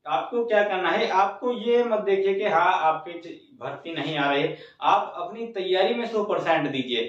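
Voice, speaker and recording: A man presenting an informal recruitment news update, explaining things step by step and speaking directly to viewers. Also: a little high. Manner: explanatory, repeats points, addresses viewers directly. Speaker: host of a small exam-news channel. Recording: very echoey room, distant mic, dull sound.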